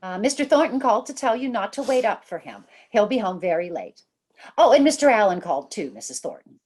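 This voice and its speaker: A woman's voice: mid-range voice, very animated, brisk, darkish tone, husky. A woman playing an eager, deferential and slightly timid housemaid in an old-time radio drama, with a hesitant manner.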